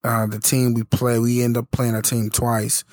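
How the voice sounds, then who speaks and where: A man with a hoarse, worn voice talks through a casual solo podcast. His delivery is halting and loose, packed with fillers and restarted sentences. His voice sits at an ordinary middle pitch, recorded close and dry with no room sound. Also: completely flat delivery, darkish tone, no room sound. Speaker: podcast host and youth basketball coach.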